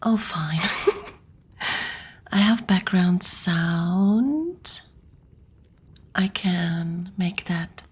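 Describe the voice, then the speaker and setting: A woman with an extremely breathy, whispered voice chats casually in an ASMR style. She takes her time, speaking slowly and softly, slightly off to the side of a close microphone. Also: lowish voice, animated. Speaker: streamer hosting a live ASMR reading. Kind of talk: ASMR, casual chat with viewers.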